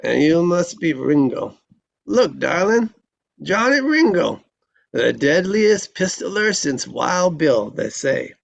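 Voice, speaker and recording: An actor playing a drunken, sardonic, sickly gunfighter gambler in a live western table read, with a gravelly voice and dull sound. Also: male voice, fairly high pitch, sing-song, slow, exaggerated voice. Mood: sardonic, provoking.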